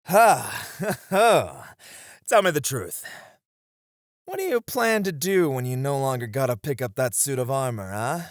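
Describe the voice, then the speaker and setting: A man's voice: medium pitch, big pitch swings, slow pace, dry recording, clean studio sound. A man playing a seasoned knight, a wistful, teasing sparring comrade in a romantic roleplay, with reflective, hesitant phrasing. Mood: playful, exerted. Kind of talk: romantic ASMR roleplay acting.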